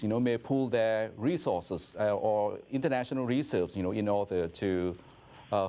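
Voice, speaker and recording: A man working as an interviewer, putting roundabout questions in a halting, conversational way. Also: fairly low pitch, mellow. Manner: halting, roundabout questions peppered with "you know".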